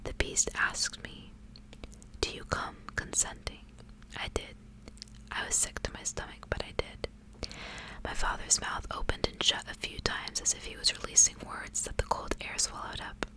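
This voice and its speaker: Softly whispering ASMR woman. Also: normal speed, poor audio. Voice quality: breathy, unvoiced whisper. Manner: soft, hushed, intimate delivery. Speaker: ASMR host reading aloud. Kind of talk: whispered ASMR storybook reading with casual asides.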